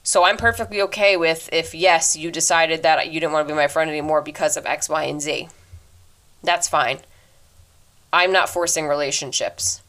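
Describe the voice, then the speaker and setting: A woman in her twenties hosting a solo podcast, talking earnestly and reflectively into her microphone, fast by habit, with a deep voice. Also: fairly even intonation, a bit bright. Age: 20s. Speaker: podcast host. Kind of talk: casual solo advice talk.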